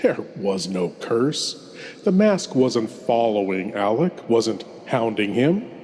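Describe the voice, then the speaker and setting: A man playing a sardonic, macabre horror host, speaking in a deliberate, ironic and theatrical way. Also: lowish voice, sing-song, darkish tone. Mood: mock reassuring. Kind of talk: theatrical horror show hosting. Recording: long cavernous reverb.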